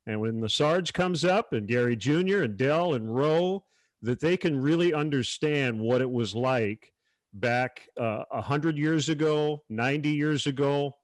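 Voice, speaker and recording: Guy hosting a panel chat, normal pitch, dry close sound, talking it through conversationally. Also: measured pace, dry recording. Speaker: panel moderator. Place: virtual panel over video call.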